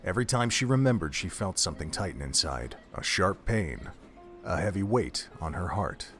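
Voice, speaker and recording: Low-pitched male audio drama narrator. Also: lively intonation, normal speed, a bit bright, faint music behind.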